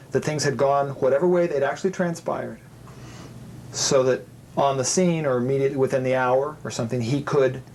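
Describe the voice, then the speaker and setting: A man's voice: medium pitch, darkish tone, a little hiss or hum, poor audio. A man conducting an interview, asking long winding questions and often putting in short acknowledgements.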